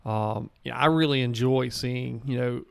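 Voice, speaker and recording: A man who races Ironman, talking as a podcast guest in a halting way, leaning on "you know" fillers. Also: medium pitch, fairly even intonation, creaky, clean tone, dry recording. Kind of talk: casual podcast chat.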